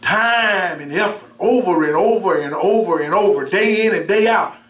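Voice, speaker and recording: High-voiced, bright preaching man. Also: moderate pace. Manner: preaching with call-and-response, repeats words, swings between shouting and soft asides. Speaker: minister. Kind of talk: sermon. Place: church sanctuary, indoors, at a pulpit. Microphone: pulpit mic from a far camera. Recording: roomy church sound from far off.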